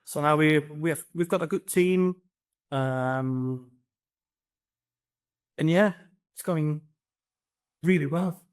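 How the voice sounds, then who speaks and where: A middle-aged man from Belgium speaks with a Flemish accent, Dutch being his first language. His voice sits a little higher than most men's. He answers questions in a loose, halting way, full of fillers and restarted phrases. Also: smooth. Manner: hesitant, many ums and restarts. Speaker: real estate agency CEO. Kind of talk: casual interview answers.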